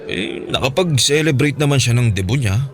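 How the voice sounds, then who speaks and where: A man with a Filipino accent playing a calm, teasing, henpecked middle-aged father in a radio drama. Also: medium pitch, moderate pace, close to an everyday voice. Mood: nostalgic.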